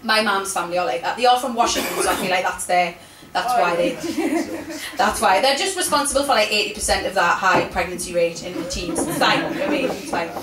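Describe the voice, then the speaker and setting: A woman's voice: medium pitch, brisk. Cheeky Geordie comic in her thirties, self-mocking crowd work, captured from a distance in a roomy, noisy venue. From Newcastle, England. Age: 30s. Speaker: stand-up comedian. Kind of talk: stand-up comedy with crowd work. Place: live venue with audience. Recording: echoey room, from afar, noisy.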